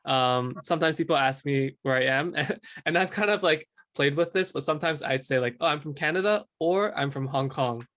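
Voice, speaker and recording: A man who is a guest in a casual discussion, speaking hesitantly and softly. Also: on the high side, normal speed, few pauses.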